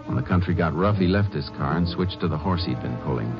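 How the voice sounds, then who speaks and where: An actor playing a calm, shrewd Texas Ranger in a measured, assured, plain-spoken way, in 1950s radio western style. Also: male voice, low voice. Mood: measured narrating. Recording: muffled old radio recording with music.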